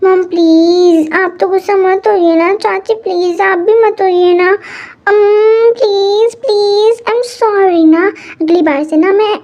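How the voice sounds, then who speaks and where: A woman's voice: very high-pitched, steady tone, takes it slowly, a little mellow, heavily acted. A woman voicing a pampered teenage daughter who pleads and wheedles in a whiny way. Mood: pleading.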